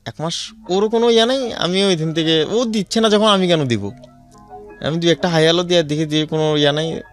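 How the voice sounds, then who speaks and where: Man, Bangladeshi accent, slightly high voice, conversational life storytelling, halting and repetitive, music underneath. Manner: repetitive, halting telling with frequent check-in questions. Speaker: guest telling his life story. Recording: music behind the voice. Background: background music.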